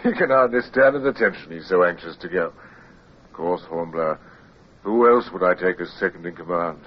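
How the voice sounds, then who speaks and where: Deep-voiced, commanding naval lieutenant. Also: male voice, a little fast. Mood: frank, amused. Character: steady, plain-spoken British naval lieutenant in command. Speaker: actor in a radio drama. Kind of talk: radio drama acting. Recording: muffled radio recording, faint noise.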